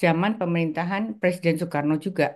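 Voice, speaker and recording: A woman in her sixties from East Java, a guest recalling her family's past in an interview, pausing often with fillers. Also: on the low side, steady tone. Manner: hesitant, many filler pauses. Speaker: guest, article author. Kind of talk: interview, personal recollection.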